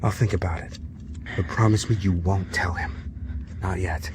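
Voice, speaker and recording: Man playing hard-bitten escape plotter, deep voice, terse, guarded, noisy backdrop. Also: steady tone, normal speed, lightly acted. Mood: grim. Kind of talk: radio drama acting.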